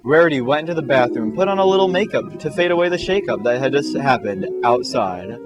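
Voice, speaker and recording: A man's voice: medium pitch, animated, a little fast. A man narrating comic fan fiction in a mock-dramatic way, dropping in casual asides. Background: music.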